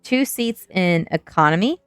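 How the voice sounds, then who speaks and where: A woman's voice: fairly low pitch, lively intonation, a little slow, clear, resonant tone, no room sound, faint music behind. A woman voicing a gossipy, prying female travel agent in an audiobook, chatty and a little flirtatious. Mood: curious, chatty.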